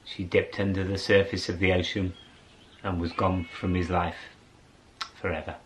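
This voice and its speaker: A man telling a story aloud in a theatrical way, with dramatic pauses and drawn-out words. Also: low-pitched, level tone, slight background noise, low-quality recording. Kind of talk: animated oral storytelling to an audience.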